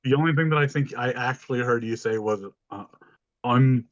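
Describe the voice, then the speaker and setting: A man with a deep voice co-hosting a podcast, telling stories in an informal, casual way and swearing freely. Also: moderate pace, no echo, lo-fi sound. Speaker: podcast co-host. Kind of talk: casual chat, storytelling.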